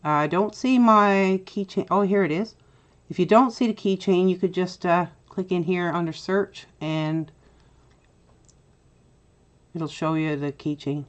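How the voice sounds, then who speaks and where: A middle-aged woman with a Newfoundland accent, chatty and step-by-step as she hosts a software tutorial. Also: lowish voice, a little slow, muffled recording. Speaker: tutorial host. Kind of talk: screen-recorded software tutorial.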